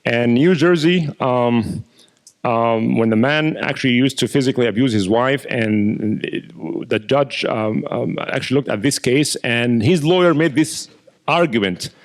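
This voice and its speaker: A man teaching as an imam, talking at a mid pitch and working through his points with patient explanations. He often repeats small words while he gathers his thoughts. He speaks as a lecturer taking questions from a room. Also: unhurried. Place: mosque lecture hall.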